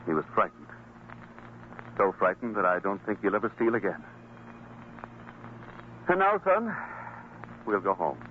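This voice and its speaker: An excitable, troubled father, tinny old radio. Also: fairly low pitch, unhurried, pauses often, slight background noise. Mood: relieved, reflective. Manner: hesitant, exclamatory, earnest. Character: troubled father with a gambling weakness, excitable yet merciful. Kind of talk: old-time radio drama acting.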